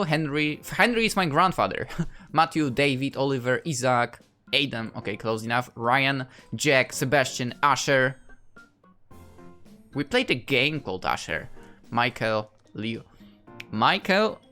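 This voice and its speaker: A man's voice: medium pitch, sharp tone. A young man from Poland with a Polish accent, playfully chatting and reading game dialogue aloud in a casual let's play. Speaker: gaming YouTuber.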